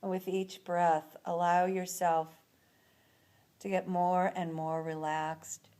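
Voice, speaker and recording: A woman leading a guided relaxation as an instructor, speaking in a soothing, unhurried manner with long pauses between phrases. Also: fairly low pitch, level tone, dark tone, clean tone.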